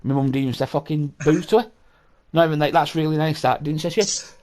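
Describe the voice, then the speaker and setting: A man's voice: a little high, quick pace, darkish tone. Swearing man in his thirties. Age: 30s. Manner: swearing, rambling, full of restarts. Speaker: podcast host. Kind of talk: casual chat.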